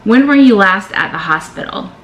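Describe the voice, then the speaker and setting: A woman's voice: mid-range voice, animated, normal speed, reverberant, slight background noise, poor audio. A woman, chatting candidly as she reads out questions and answers them in a vlog. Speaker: vlogger. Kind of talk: casual Q&A vlog.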